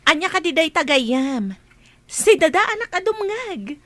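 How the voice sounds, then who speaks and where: A woman's voice: extremely high pitch, sing-song, a little slow, fairly bright tone, exaggerated voice. A woman playing a mischievous, teasing young female best friend in a Filipino radio drama. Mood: playful, teasing.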